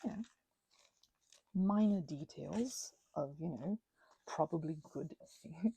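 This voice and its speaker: A woman's voice: deep voice, animated, takes it slowly, creaky, mellow, slightly rough, poor audio. A woman crafting and rambling casually in ASMR style, whimsically talking to herself and the things in her hands, sometimes dropping to a near-whisper. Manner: whimsical, talks to herself and objects. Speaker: crafting vlogger.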